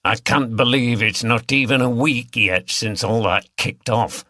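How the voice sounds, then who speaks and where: A man's voice: mid-range voice, a little slow, pushed and bright, no room sound, studio quality. A man voicing a blunt, grumbling working man, bantering as a pub regular in an audiobook.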